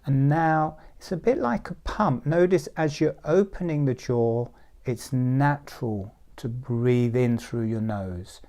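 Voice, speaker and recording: A man guides a group through a calm relaxation exercise at an unhurried pace. His voice is mellow and low in brightness, soft and soothing, and at times it drops to a whisper. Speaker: relaxation teacher.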